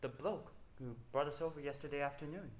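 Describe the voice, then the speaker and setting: A man's voice: a little high, brisk, roomy sound, natural voice. Man playing a blunt guest, noisy stage recording. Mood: blunt, uneasy. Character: blunt, plain-spoken male house guest, uneasy on island. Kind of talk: student stage play acting.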